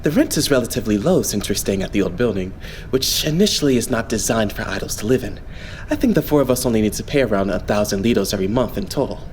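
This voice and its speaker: A man voicing a polite, composed young idol leader in an anime fandub, explaining things in a measured way. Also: lowish voice, expressive, a little mellow, slight background noise, natural voice. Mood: calm explaining. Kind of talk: anime game fandub acting.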